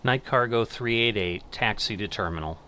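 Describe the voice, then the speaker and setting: A middle-aged man giving casual gameplay commentary and controller commands, thinking aloud in an unhurried way. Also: on the low side, pushed and bright. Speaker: gaming channel host playing air traffic controller.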